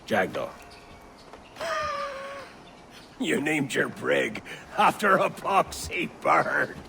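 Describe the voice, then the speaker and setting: A gruff veteran pirate in a game scene. The voice is gravelly and swings wildly in pitch, theatrical and scornful. Clear ambient noise surrounds it. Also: male voice, very animated, drawn-out words, exaggerated voice. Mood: mocking. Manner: taunting, theatrical. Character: gruff, mocking, swaggering male veteran pirate. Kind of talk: video game cutscene acting.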